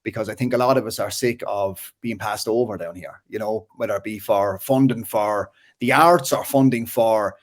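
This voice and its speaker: A man in his thirties with a Waterford Irish accent, a filmmaker chatting casually as an interview guest, animated and full of restarts. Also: lowish voice, moderate pace, few pauses. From Ireland. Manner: animated, restarts often, frequent "like" and "you know".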